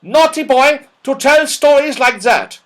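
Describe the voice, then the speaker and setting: A stern, frightening old storybook dame, played by a man, very high and loud. She scolds and commands in sweeping, drawn-out phrases that brook no argument. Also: animated, exaggerated voice. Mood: angry scolding.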